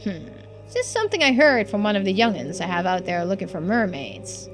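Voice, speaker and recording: A woman plays a greedy older collector from a story game, obsessed with living forever. The talk is blunt, folksy and dismissive, in a mid-pitched voice, with faint music underneath. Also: big pitch swings, fairly quick, close to an everyday voice, poor audio. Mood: smug, obstinate. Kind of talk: video game dialogue read aloud.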